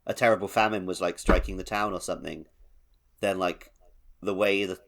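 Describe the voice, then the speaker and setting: A man's voice: sits low, steady tone, fairly quick, gravelly, a bit bright. A man co-hosting a podcast, discussing a game casually and explaining things informally with loose, conversational phrasing. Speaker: podcast co-host.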